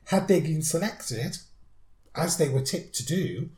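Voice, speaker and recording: A man's voice: on the high side, animated, measured pace, a little mellow. A man co-hosting a podcast, chatting thoughtfully about songs, with frequent restarts. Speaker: podcast co-host. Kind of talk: casual chat reviewing songs. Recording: roomy echo.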